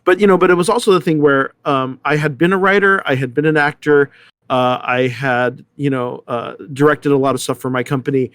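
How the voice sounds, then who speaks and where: A man's voice: medium pitch, fairly even intonation, brisk, fairly bright tone, clean tone, dry recording, clean studio sound. A middle-aged man, an actor and director, speaking casually in a panel discussion.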